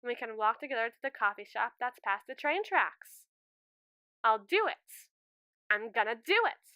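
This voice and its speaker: A woman's voice: a little high, normal speed, clean tone, no echo. A woman playing a lovestruck, anxious schoolgirl in an audio roleplay, chattering expressively and dramatically to a friend. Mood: nervous, determined. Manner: expressive, rambling, theatrical teenage chatter. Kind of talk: ASMR roleplay, one-sided conversation with a friend.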